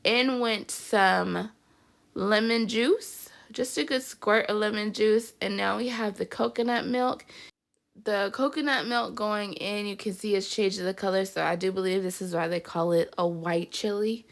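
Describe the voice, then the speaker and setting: A woman's voice: medium pitch, measured pace, gravelly. A woman with a stuffy, congested voice, chatting upbeat through a home cooking video as the channel's host. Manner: chatty and upbeat, says "y'all" often. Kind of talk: casual cooking vlog narration.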